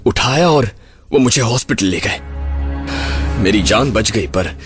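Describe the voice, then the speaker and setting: A man playing a haunted male survivor telling his story in an audio horror drama, dramatic, halting and fearful. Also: fairly low pitch, animated. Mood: sombre. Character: haunted male survivor recounting a terrifying snowy night. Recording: loud music under the voice. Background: loud background music.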